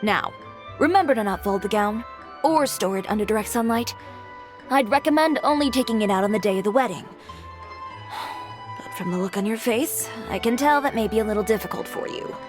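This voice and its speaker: A woman's voice: mid-range voice, animated, moderate pace, close to an everyday voice. A woman voicing a composed, businesslike female fashion designer and shop owner in a game, dry and matter-of-fact. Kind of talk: game voice acting. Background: game music.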